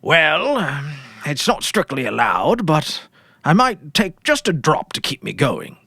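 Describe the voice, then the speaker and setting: A performer doing an obliging, lively police sergeant. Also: mid-range voice, measured pace, vocal fry, breathy edge, no echo, clean studio sound, pitched above the performer's own voice, exaggerated voice.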